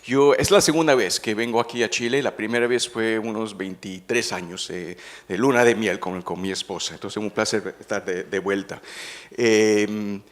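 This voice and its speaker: A man's voice: fairly low pitch, fairly even intonation, moderate pace. A man with a slightly French-tinged Spanish accent giving a conference lecture, hesitant, with many fillers. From Colombian father, French mother. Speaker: veterinary ophthalmologist speaker.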